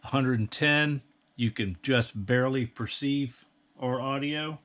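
A middle-aged American man narrating an instructional bench review in a measured, precise technical manner. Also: mid-range voice, fairly bright tone, a little hiss or hum. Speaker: host, radio equipment reviewer. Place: indoor electronics workbench.

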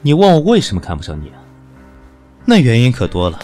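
Man acting an aloof, sardonic man, lively intonation. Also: medium pitch, brisk, faint music behind. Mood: cold, dismissive. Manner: cool, sardonic, dismissive. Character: aloof, sharp-tongued professional man, weary of his pushy lover. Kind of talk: Chinese audio drama acting.

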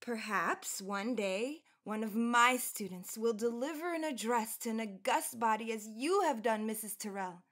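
Young 1910s college woman, earnest, proud, higher-pitched, careful formal elocution, radio drama. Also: expressive, measured pace, few pauses, a bit bright. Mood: admiring, earnest. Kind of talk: period radio drama acting.

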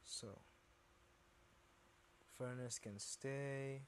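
A man with a deep voice giving casual gameplay commentary, repeating words as he mutters to himself. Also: fairly even intonation, very slow, a little hiss or hum. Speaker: gaming video host.

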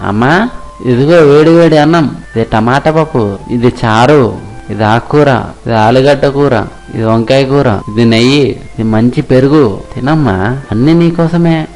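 A man's voice: mid-range voice, unhurried, darkish tone, faint music behind, close to an everyday voice. A man playing a devoted, generous young fisherman with an earnest, caring manner in an acted moral story.

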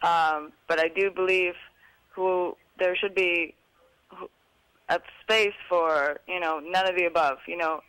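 A woman's voice: lowish voice, steady tone, measured pace, a bit bright. A young woman calling in to a live show, hesitant and full of fillers. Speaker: caller. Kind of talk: phone-in call. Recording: phone line.

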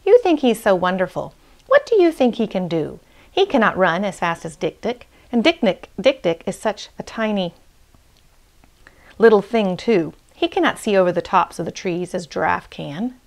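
A woman's voice: medium pitch, sing-song, normal speed, vocal fry. A woman voicing a scornful, cowardly male hyena in an animal storybook, taunting with bursts of ha-ha laughter. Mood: dismissive, scornful.

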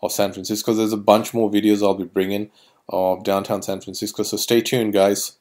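A man giving informal voice-over commentary as a tour guide, haltingly, often repeating and correcting himself. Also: on the low side, level tone, darkish tone.

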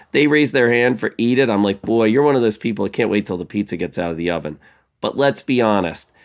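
A middle-aged man with a noticeably creaky voice at an ordinary male pitch teaches a lesson for young kids. He is playful and expressive, posing questions and then answering them like a school teacher. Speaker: elementary school teacher giving a library lesson. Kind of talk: instructional lesson for young children.

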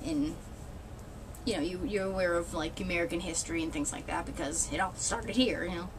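A woman's voice: lowish voice, brisk, noisy background. Chatty woman in her thirties, wry and rambling. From New England. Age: 30s. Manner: rambling, wry, laughs often, mild swearing. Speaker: vlogger. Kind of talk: casual chat. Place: small indoor room with red cloth backdrop.

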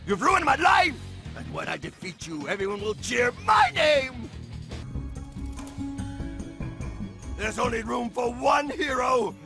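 A ranting, high-pitched bitter rival hero. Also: male voice, big pitch swings, normal speed, gravelly, music in the background, exaggerated voice. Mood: vengeful, furious. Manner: theatrical, ranting. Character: bitter, jealous male rival hero, furious and grandiose. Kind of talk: video game cutscene voice acting.

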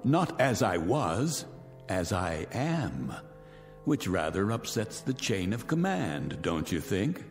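A man's voice: low-pitched, very animated, slow pace, full character voice. An actor playing a bitter, vengeful man who once was a disgraced soldier, taunting his guests with silky, theatrical menace in a radio drama. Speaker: host of the gathering. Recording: reverberant radio drama with music.

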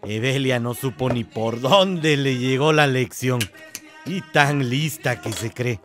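A man's voice: mid-range voice, slow pace, fairly bright tone, soft background music. An actor playing a smug, domineering village man in a radio soap opera.

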